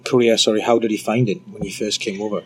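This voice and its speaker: A man's voice: on the low side, steady tone, quick pace, slightly rough. A man interviewing a guest in a casual, easygoing chat.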